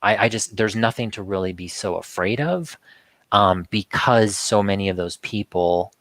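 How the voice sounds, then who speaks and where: A man chatting casually as a talk show guest, halting and often repeating words as he restarts his sentences. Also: lowish voice, fairly bright tone, no room sound. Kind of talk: casual rambling chat.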